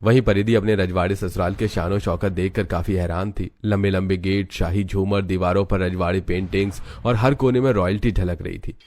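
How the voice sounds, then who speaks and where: A man giving scripted narration for an animated family story. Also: low voice, brisk, hardly any pauses.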